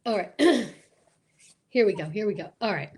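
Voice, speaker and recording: A woman's voice: mid-range voice, lively intonation, normal speed, darkish tone, breathy edge. A woman hosting a comedy show, chatting casually and directing her co-performer.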